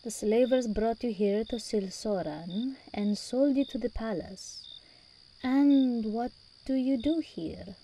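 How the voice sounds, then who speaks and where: A woman's voice: fairly high pitch, slow, mellow, slight background noise, low-quality recording. A woman playing a regal, seductive demon princess in an ASMR roleplay, theatrical and teasing, commanding yet tender with her endearments. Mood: intrigued, teasing.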